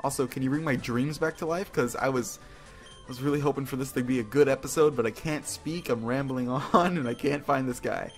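A man's voice: medium pitch, a little fast. A man hosting a casual video game playthrough, rambling, exclaiming and poking fun at himself as he goes. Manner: rambling, self-mocking, exclaiming. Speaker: gaming video host. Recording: music behind the voice. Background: video game music.

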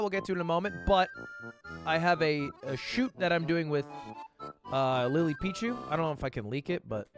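A man in his twenties chatting casually with viewers on a live stream, animated and swearing freely. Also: high voice. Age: 20s.

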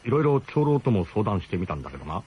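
Male actor as awkwardly diplomatic camp cook: casual, halting, roundabout, radio drama on a faintly noisy old broadcast. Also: fairly low pitch, big pitch swings, dark tone. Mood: awkward, hesitant.

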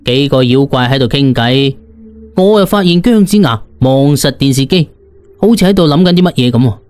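A man telling a dramatised story in the first person, in a conversational, expressive way. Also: medium pitch, normal speed, smooth, faint music behind. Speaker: audiobook narrator.

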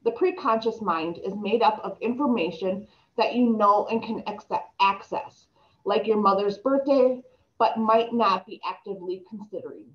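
Young woman, slight lisp, mid-pitched voice, reading slides aloud, laptop mic on a video call, somewhat dull sound. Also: normal speed. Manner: reads aloud with stumbles and restarts. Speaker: presenter reading an article aloud.

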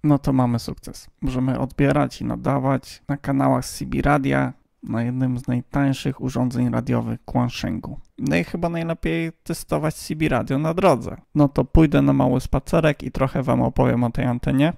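A man with a strongly gravelly, creaky voice at a medium pitch. He explains things casually as a radio hobbyist hosting a vlog. The sound is dry and close, recorded outdoors on a handheld phone. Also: fairly even intonation, normal speed, darkish tone, no room sound. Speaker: amateur radio hobbyist host.

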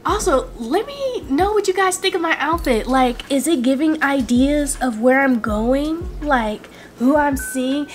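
Hoarse, chatty young woman vlogging. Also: high voice, a little hiss or hum. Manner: chatty, drawn-out filler words. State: hoarse, losing her voice. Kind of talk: casual chat to camera.

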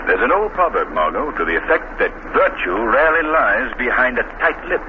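A man acting an urbane amateur sleuth in a radio mystery. He is polite and curious, with animated intonation. The old recording is muffled and noisy. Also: mid-range voice, fairly quick, close to an everyday voice. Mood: intrigued.

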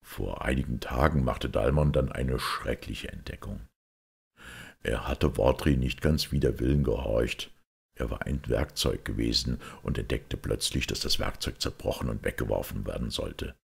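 Male audiobook narrator for detective fiction: extremely low, husky, full of fry. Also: moderate pace. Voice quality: gravelly. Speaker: audiobook reader. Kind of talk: scripted literary narration of a detective story.

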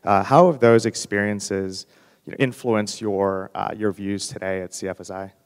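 Guy moderating on stage, low smooth voice, lots of uhs, hall echo. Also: fairly even intonation. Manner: halting, many uhs, polite. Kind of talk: fireside chat interview. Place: large hall on stage, seated. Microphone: handheld mic. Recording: hall reverb.

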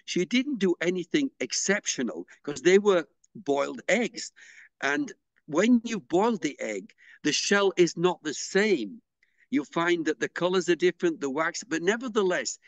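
Man, slightly higher voice, dry sound, hesitant, video call interview. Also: no room sound. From England. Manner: hesitant, with fillers and restarts. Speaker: pysanky artist and interviewee. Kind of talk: unhurried explanatory interview talk.

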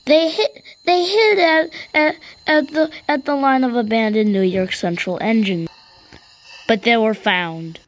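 A female storyteller with a halting, stammering delivery, improvising a tale for a train cartoon. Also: on the high side, a little hiss or hum. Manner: halting, stammering, repeats and restarts words. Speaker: storyteller and host of a hobby cartoon series.

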